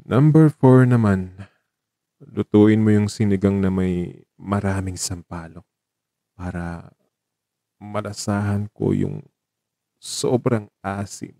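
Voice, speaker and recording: A man with a Filipino accent acting a gentle, dying young man in a radio drama, soft and wistful as he talks tenderly to his lover. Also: on the low side, slow pace, pauses often, dry recording. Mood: wistful, playful.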